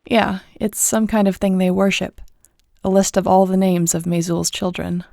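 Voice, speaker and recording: A woman playing a wry, attentive detective's assistant in an audio drama, speaking in a conversational, gently teasing way. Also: medium pitch, flat delivery, moderate pace, gravelly, no room sound, studio quality, lightly acted. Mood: wry, casual. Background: background music.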